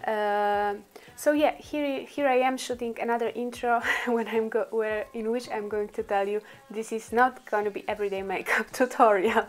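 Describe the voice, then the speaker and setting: A woman's voice: a little high, fairly even intonation, moderate pace. A woman whose first language is Polish, chatting through a makeup tutorial with many fillers and laughs. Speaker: beauty vlogger.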